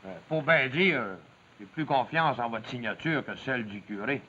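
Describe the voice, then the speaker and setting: An actor playing a big, proud log driver in a 1950s television drama, with a gravelly voice and a rustic Quebec French accent. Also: male voice, medium pitch, expressive, moderate pace, pushed and bright, slight background noise. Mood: deferential. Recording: dull 1950s television sound.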